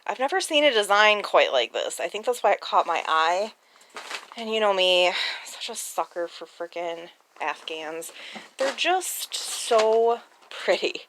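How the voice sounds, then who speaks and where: A woman's voice: medium pitch, very animated, measured pace, a bit bright, slight background noise, low-quality recording. A woman chatting casually and informally as a reseller vlogger, with mild slang.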